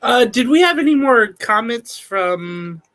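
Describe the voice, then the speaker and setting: A man co-hosting a sports talk show, recapping news in a steady, methodical way with many fillers. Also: very high-pitched, measured pace. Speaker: podcast co-host.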